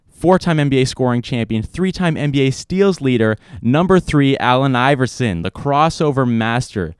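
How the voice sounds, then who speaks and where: Young male host, eager sports chatter, smooth clean voice, dry studio sound. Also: medium pitch, no echo. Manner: enthusiastic, rambling. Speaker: podcast host. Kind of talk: casual sports talk.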